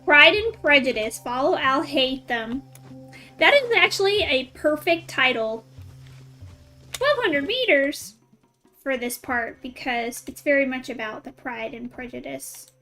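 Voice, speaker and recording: A woman streamer chats casually and giggles as she reacts to a game. Her voice sits high and sounds bright and crisp, with soft game music playing behind her. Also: animated, unhurried. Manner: giggly, chatty, reacting aloud. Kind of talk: casual gameplay commentary.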